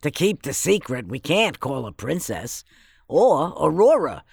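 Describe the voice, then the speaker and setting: Husky, creaky bossy old fairy. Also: female voice, deep voice, sing-song, a little slow, a little mellow, dry recording, studio quality, full character voice. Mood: bossy, brisk. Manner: commanding, no-nonsense. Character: bossy older fairy, brisk leader of three sisters. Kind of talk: fairytale audio drama acting.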